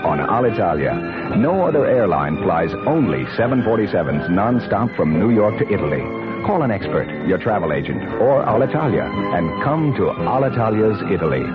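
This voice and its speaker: A man reading a scripted 1970s radio commercial as announcer, in a polished storytelling pitch. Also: sing-song, moderate pace. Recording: muffled old radio broadcast. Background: loud music.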